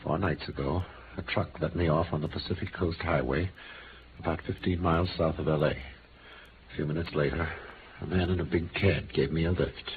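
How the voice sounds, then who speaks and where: An actor playing a bitter, quiet gray-haired con man of nearly sixty in a vintage radio drama. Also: extremely low pitch, steady tone, moderate pace. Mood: earnest recounting. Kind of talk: 1950s radio drama acting. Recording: muffled vintage radio recording, faint hiss.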